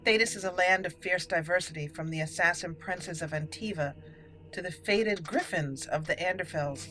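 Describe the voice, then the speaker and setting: A woman hosting a roleplay game playthrough, speaking in a deliberate storyteller manner. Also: on the low side, fairly bright tone, low-quality recording. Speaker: gaming channel host. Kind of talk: roleplay game commentary and dramatic lore reading. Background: faint game music.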